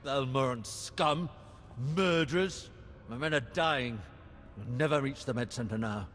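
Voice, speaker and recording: A man's voice: medium pitch, a little slow, a bit bright, big-room sound, a little hiss or hum, close to an everyday voice. A man playing a bitter, desperate enemy officer in a science fiction video game, with a dramatic, indignant delivery. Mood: outraged. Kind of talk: video game cutscene acting.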